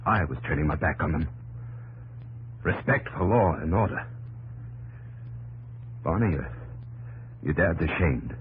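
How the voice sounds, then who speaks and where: A deep-voiced remorseful father. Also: expressive, measured pace, pauses often, rough recording. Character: remorseful frontier father, gruff and earnest. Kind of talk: 1950s radio drama acting. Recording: old radio broadcast with hiss.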